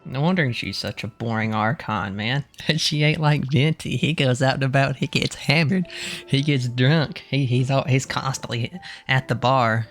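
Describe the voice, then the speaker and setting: A man's voice: medium pitch, fairly even intonation, moderate pace, soft background music. A young man giving casual, slangy commentary as he streams a video game. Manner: slangy, informal.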